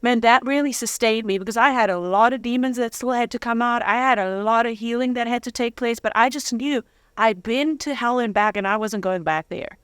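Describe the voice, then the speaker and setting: A woman's voice: a little high, fairly quick, smooth, no echo, clean studio sound. A woman telling her personal testimony as an interview guest, with dramatic, candid storytelling and slangy humour.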